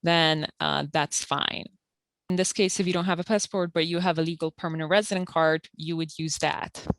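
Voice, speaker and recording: A woman who works at a community health center, calmly explaining eligibility rules and documents step by step with frequent fillers. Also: sits low, normal speed, no echo. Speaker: health center benefits counselor. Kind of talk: informational interview.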